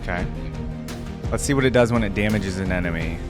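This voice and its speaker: A man's voice: low voice, fairly quick. Casual man reacting over music. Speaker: reaction video host. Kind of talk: casual gaming reaction commentary.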